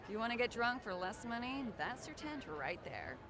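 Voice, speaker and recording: A woman's voice: on the high side, big pitch swings, moderate pace, a crowd in the background. An actress playing a wry, playful, chatty young woman in a video game. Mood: informative, wry. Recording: music behind the voice.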